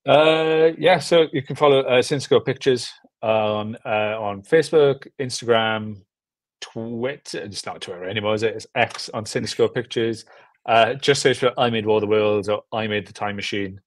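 A man's voice: medium pitch, normal speed, no room sound. A man in his thirties chatting casually as an interview guest on a video call, often repeating words for emphasis. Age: 30s. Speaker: film director and producer, interview guest. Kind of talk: casual chat. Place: small furnished room at home, indoors. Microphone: video call with earbuds.

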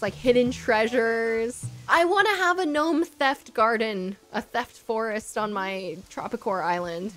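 High-voiced young woman streaming a game. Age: young adult. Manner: gushing, chatty, easily delighted. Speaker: streamer hosting a game island tour. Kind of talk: casual livestream gameplay commentary. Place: indoor streaming setup. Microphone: close microphone on boom arm. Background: soft game music.

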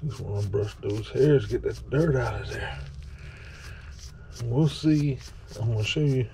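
A man's voice: medium pitch, a little slow, creaky, warm tone. A man giving casual, rambling commentary as he washes his dog at a kitchen sink, talking now and then to the dog. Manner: rambling, folksy, talks to the dog. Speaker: vlogger washing his dog. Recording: running water noise behind.

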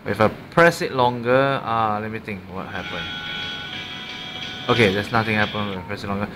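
A man's voice: fairly low pitch, moderate pace, over music, low-quality recording. A man with a Malaysian accent casually showing a gadget, unscripted, often repeating and correcting himself. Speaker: amateur product reviewer. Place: small room indoors at a desk. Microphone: built-in mic of a handheld camera.

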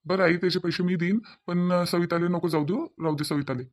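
A man's voice: sits high, fairly even intonation, brisk, close to an everyday voice. A man with a Varhadi Marathi accent playing a conciliatory grown family man in a cartoon drama, coaxing and reasoning with repeated phrases.

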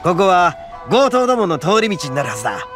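Brash proud oni fighter, rough swagger, raised voice, game music underneath. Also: male voice, sits high, big pitch swings, brisk. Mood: gruff, determined. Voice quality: gravelly, swaggering rough speech. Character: brash boastful male oni brawler, rowdy and proud. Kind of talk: Japanese game voice acting.

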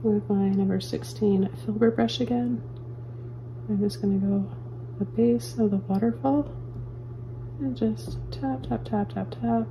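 A woman calmly talking viewers through painting step by step, as a painting teacher in her studio. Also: mid-range voice, measured pace, slight background noise, rough recording. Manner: calm, gentle step-by-step instructions. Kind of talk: real-time painting tutorial. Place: indoor studio at an easel.

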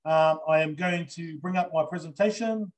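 A man's voice: fairly high pitch, normal speed. A middle-aged man with a New Zealand accent giving an earnest, enthusiastic live business presentation on a video call, with many fillers. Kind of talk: live online sales presentation. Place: indoors before a green backdrop.

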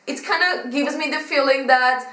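A woman in her twenties with a Turkish accent, introducing her vlog channel to the camera, energetic and fast-talking, in a small bedroom. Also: high voice. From Turkey. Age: 20s. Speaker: vlogger. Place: small decorated bedroom, indoors. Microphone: camera mic from a few feet away. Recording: roomy, somewhat distant mic.

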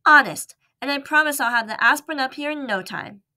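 A woman voicing an eager, determined young male mouse in a storybook reading, pleading and excited. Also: sits high, brisk, voice pushed up, heavily acted.

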